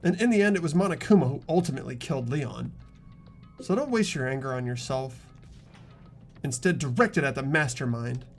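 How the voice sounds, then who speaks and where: A man plays an earnest teenage boy from a video game. His medium-pitched voice is resolute and emphatic with some grief in it, and music runs behind. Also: expressive, a little fast, lightly acted. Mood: firm, consoling. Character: earnest, grieving, resolute high school boy. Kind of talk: amateur game voice acting.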